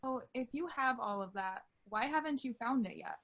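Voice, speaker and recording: A woman playing a skeptical, joking high school student in an amateur script read. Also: animated, normal speed, highs cut off.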